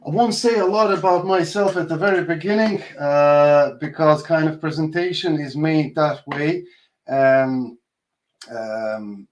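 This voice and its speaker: A man with a Bosnian accent giving an informal research presentation over a video call, speaking in a hesitant, halting way with many filled pauses. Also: mid-range voice. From Bosnia and Herzegovina. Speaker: postdoctoral researcher giving a talk. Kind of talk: informal online conference presentation.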